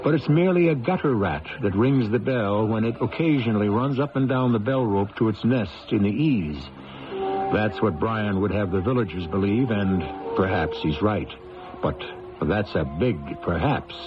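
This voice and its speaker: Male suspense-show host: lowish, theatrical, portentous, over music on a dull vintage broadcast. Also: expressive, moderate pace. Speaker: radio drama host. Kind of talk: scripted suspense narration. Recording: dull lo-fi old radio, music behind.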